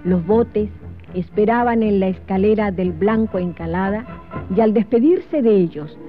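A woman's voice: medium pitch, unhurried. Narrow-band female storyteller over faint music. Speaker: radio drama narrator. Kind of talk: scripted dramatic historical narration. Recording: thin, narrow old radio recording.

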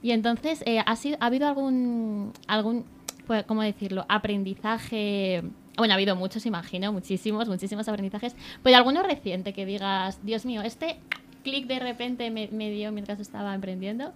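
A woman's voice: medium pitch, moderate pace. Affirming woman co-hosting a radio chat. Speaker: radio co-host. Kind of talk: casual radio interview. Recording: faint background noise.

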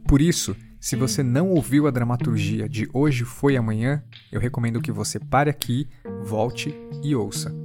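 A man's voice: mid-range voice, moderate pace, hardly any pauses, music in the background. Brazilian man hosting a podcast. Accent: Brazilian Portuguese. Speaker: podcast host and interviewer. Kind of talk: podcast introduction and interview.

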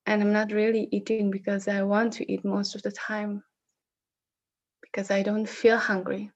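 A woman from the Netherlands with a Dutch accent, sharing personal reflections on a video call in a slow, searching way with frequent restarts. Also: medium pitch, flat delivery, muffled recording. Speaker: session facilitator.

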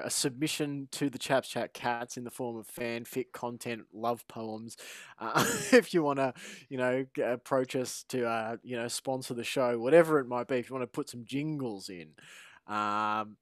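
An Australian man hosts a casual fan podcast as its quizmaster. His voice sits at an average pitch in a very dry, close recording. He phrases things in a wordy, mock-formal manner. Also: a bit bright, no room sound.

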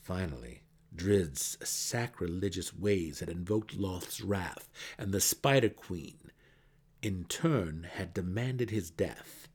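A man's voice: low voice, lively intonation, slow pace, a little mellow, slightly rough. A man reading a fantasy novel as a narrator in a theatrical, emphatic way. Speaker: audiobook narrator.

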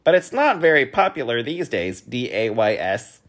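A man voicing an excitable storybook bee who proudly spells words out letter by letter. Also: mid-range voice, moderate pace, pitched above the performer's own voice. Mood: proud, eager.

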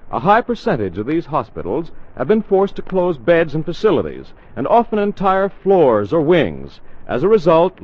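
A man's voice: on the high side, muffled recording. An American man reading a script as a 1940s radio announcer, formal and carefully enunciated, on an old broadcast recording.

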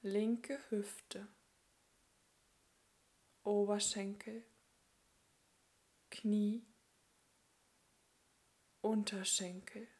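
Very slow-spoken woman guiding relaxation. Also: mid-range voice. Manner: calm, soothing, short phrases with long pauses. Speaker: yoga nidra instructor. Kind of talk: guided relaxation meditation.

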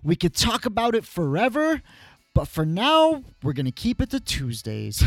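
A man's voice: high-pitched, wildly swooping pitch, normal speed, no room sound, faint music behind. A man hosting a radio show in an effusive, conversational way, speaking directly to listeners.

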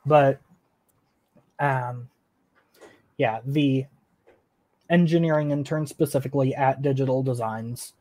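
A man's voice: medium pitch, steady tone, slow, pauses often, dark tone. A young man, an engineering student, answering interview questions casually and hesitantly, with many fillers. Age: young adult. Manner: hesitant, filler-heavy, laughing at himself.

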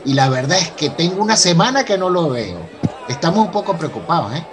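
A man's voice: a little high, sing-song, a little fast. A man playing a chatty, gossipy character with a Venezuelan accent in a radio soap opera. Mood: gossipy, amused. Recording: busy crowd background.

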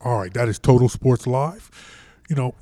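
Deep voiced philly guy hosting a sports podcast, chill, keeps restarting words, dry close sound. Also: normal speed, dry recording. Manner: relaxed, repeats words, lots of restarts. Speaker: podcast host. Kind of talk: casual sports chat. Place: on location in a room.

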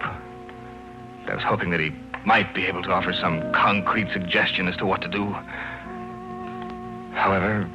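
Casting: male actor as troubled husband narrating his diary of dread, low-pitched, vintage radio serial, muffled sound over music. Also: lightly acted. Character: haunted, grieving husband keeping a diary of dread. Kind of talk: old-time radio drama acting.

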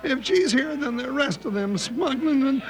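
An actor playing a grumbling, jittery, food-loving cowboy sidekick with a folksy, excitable way of talking, in an old-time radio western. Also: male voice, very high voice, normal speed, rough recording. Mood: nervous. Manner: folksy drawl, complaining, excitable. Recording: vintage radio recording with music.

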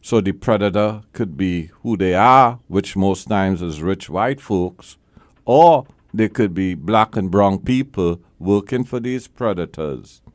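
A man's voice: sits low, slow, no echo. A man hosting a call-in legal advice show as a lawyer, talking bluntly and with emphasis, repeating his key points.

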